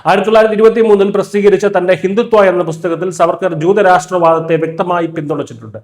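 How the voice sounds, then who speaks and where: A man's voice: sits high, fairly even intonation, fast talker, echoey room. A man reading a scripted news voice-over in a firm, emphatic way. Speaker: news narrator.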